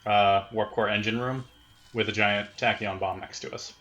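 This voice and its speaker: Male tabletop player, bright and forward tone, casual conversational delivery at the table. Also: lowish voice, fairly even intonation, moderate pace, highs cut off. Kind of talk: casual in-game table talk.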